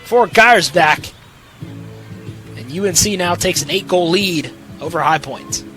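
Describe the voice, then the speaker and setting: High, bright-voiced young male sports announcer. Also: expressive, a little slow, soft background music. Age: young adult. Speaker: sports play-by-play announcer and interviewer. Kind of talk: play-by-play sports commentary.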